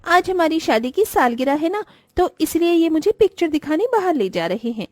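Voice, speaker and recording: A woman voices a young married neighbour in a cartoon, pleasantly proud. The voice sits very high and is recorded dry. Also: sing-song, normal speed, dry recording. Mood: pleased. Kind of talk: cartoon voice acting.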